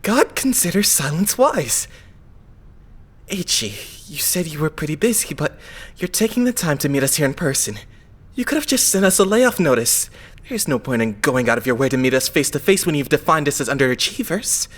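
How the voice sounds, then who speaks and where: A man's voice: fairly high pitch, very animated, slightly rough. Lilting, polite young male idol played by a man. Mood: calm probing. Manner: polite, measured, analytical. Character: pious, shrewd, composed young male idol. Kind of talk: anime game fandub acting.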